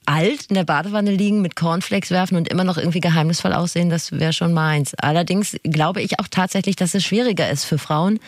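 A woman's voice: lowish voice, a little fast, hardly any pauses, no room sound, studio quality. Witty conversational woman podcaster. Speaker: podcast co-host. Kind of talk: casual podcast chat.

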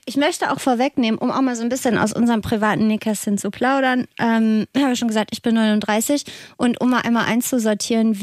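A woman's voice: mid-range voice, dry recording. Woman in her late 30s, podcast co-host, chatty and joking about herself, dry close sound. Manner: chatty, self-ironic, lively storytelling. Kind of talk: casual podcast chat.

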